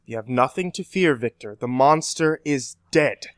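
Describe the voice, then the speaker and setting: A man's voice: medium pitch, lively intonation, normal speed, smooth, no room sound, studio quality, natural voice. A man acting a kindly, avuncular older professor in an old-time radio drama style. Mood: reassuring, hushed. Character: kindly, fatherly older male professor, soothing mentor.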